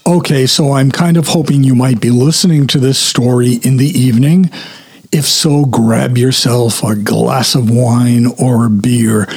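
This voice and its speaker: Male podcast host with a scratchy throat, husky and unhurried, rambling through a story. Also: medium pitch, fairly even intonation, a little mellow. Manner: slow, chatty and descriptive. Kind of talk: unscripted storytelling monologue.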